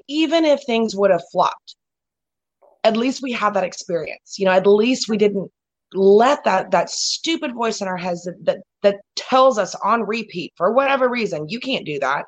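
A woman's voice: medium pitch, expressive, normal speed, highs cut off. A woman hosting a casual conversation, talking in a rambling way with lots of "you know" fillers and restarts.

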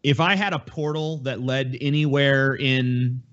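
A man's voice: mid-range voice, steady tone, unhurried, fairly bright tone, dull audio. A man in a casual podcast chat as a host, talking bluntly and swearing freely. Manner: profane, blunt.

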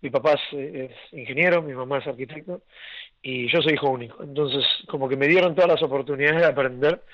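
A man's voice: mid-range voice, fast talker, muffled recording. A young man with an Argentine accent, raised in Argentina and Venezuela, telling his story as an interview guest with many restarts and fillers. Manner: rambling, many restarts and fillers, laughs often.

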